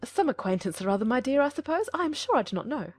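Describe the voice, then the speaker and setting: A woman's voice: sits high, very animated, fast talker, no room sound, clean studio sound, soft background music, pitched above the performer's own voice. A woman voicing a fussy, indignant middle-aged mother in a dramatized audiobook, emphatic and fretful. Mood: fussy, excitable.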